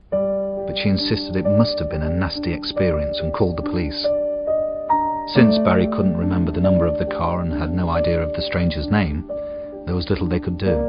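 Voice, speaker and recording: A man with a husky voice reads a short story aloud in an expressive, suspenseful way. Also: deep voice. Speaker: audiobook narrator. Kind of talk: dramatic short story reading. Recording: dull, muffled audio.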